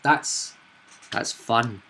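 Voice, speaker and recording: A man's voice: drawn-out words, pushed and bright, a little hiss or hum. A man hosting as game master, chatting casually about a tabletop roleplaying game and often repeating himself with tag questions.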